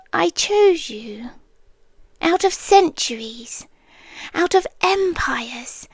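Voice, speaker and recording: A British woman voicing a possessive, obsessive female guardian angel in an ASMR roleplay, speaking softly and often in a whisper close to the mic. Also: high-pitched, dry recording, heavily acted. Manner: soft, intimate, often whispered.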